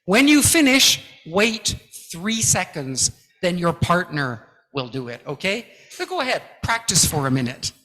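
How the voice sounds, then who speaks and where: Male guest lecturer, high-pitched and unhurried, emphatic and encouraging, echoing hall. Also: sing-song, bright, forceful tone. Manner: emphatic, encouraging, counts aloud in drills. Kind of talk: interactive presentation-skills lecture. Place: large lecture hall with about two hundred people. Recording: echoey hall reverb.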